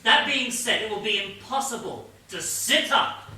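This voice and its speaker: An actor playing an earnest, pedantic detective sidekick in a comic stage play, explaining things with precise, patient logic. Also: male voice, high-pitched, lively intonation, unhurried, sharp tone, heavily acted. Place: theatre stage, mic far off. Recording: distant, echoey theatre stage recording, faint hiss.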